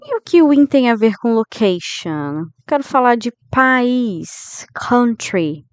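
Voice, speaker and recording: A Brazilian woman in her thirties teaching English grammar, who keeps checking in with her students and slows down to model English phrases. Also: fairly high pitch, big pitch swings, clear, resonant tone, no room sound. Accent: Brazilian Portuguese. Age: 30s. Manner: didactic, checks in with listeners often. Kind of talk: grammar lesson.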